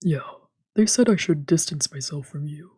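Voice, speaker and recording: Woman playing a husky, defiant young man. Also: sits low, expressive, moderate pace, mellow, no room sound, clean studio sound, exaggerated voice. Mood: cool. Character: blunt, accusing younger man, defiant and distrustful. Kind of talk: reading visual novel dialogue aloud.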